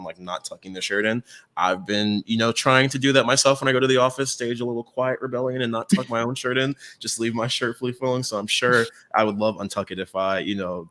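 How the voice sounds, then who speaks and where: Man, deep voice, conversational presenter, chatty, explanatory, casual delivery. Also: fairly bright tone. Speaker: co-host presenting retail trends.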